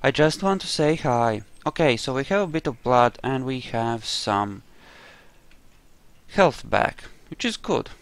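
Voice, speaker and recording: Slow-paced man doing casual gaming commentary. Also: mid-range voice, animated, dry recording. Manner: dry, wry sarcasm; rambling, thinking aloud. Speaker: gamer narrating a roleplay playthrough.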